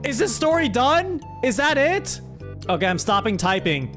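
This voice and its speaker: Guy streamer w a high voice, chatting and mock exasperated, music in the back. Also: very animated, normal speed. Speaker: streamer hosting a chat story-writing game. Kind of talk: casual livestream chat. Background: background music.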